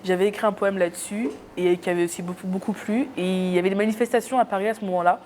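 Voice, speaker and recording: A woman's voice: mid-range voice, fairly even intonation, fast talker, a little mellow. A young woman from Cameroon, a YouTuber guest in a casual interview, talking with many hesitations.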